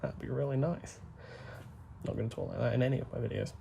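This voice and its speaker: A young man, talking softly and rambling as he plays a game, full of fillers and asides. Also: mid-range voice, gravelly, a little hiss or hum. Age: young adult. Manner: soft, deliberately hushed talk with frequent fillers. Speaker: gaming YouTuber. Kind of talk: rambling gameplay commentary.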